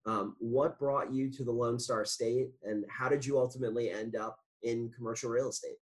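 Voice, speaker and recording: Male podcast host, mid-range voice, friendly measured interviewer. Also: medium pitch, steady tone. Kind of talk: interview questions.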